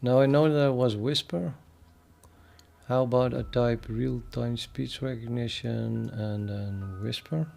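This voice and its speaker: A man with a Dutch accent chatting casually on a live programming stream, thinking aloud and halting with many fillers. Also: mid-range voice, steady tone, creaky, darkish tone. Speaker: programming livestreamer.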